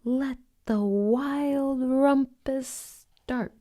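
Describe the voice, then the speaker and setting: A woman voicing a wild, defiant little boy in a storybook, loud and commanding. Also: high voice, drawn-out words, voice pushed up.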